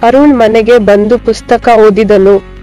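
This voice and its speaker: A woman's voice: fairly high pitch, steady tone, takes it slowly, dark tone, faint music behind. A woman narrating scripted moral tales for children.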